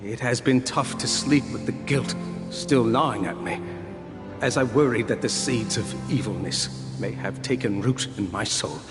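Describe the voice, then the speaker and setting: Game role: man as deferential, contrite servant at confession, mid-pitched, hesitant and formal, loud music behind. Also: moderate pace, natural voice. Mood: guilty, hesitant. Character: humble, guilt-ridden male servant confessing sins. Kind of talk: dramatic game cutscene acting. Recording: echoing hall with loud music.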